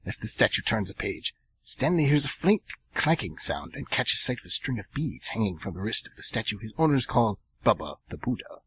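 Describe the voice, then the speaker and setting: A man's voice: lowish voice, sing-song, a little fast, a bit bright, slight background noise, poor audio. A man narrating an amateur radio drama in a dramatic, ominous storytelling style.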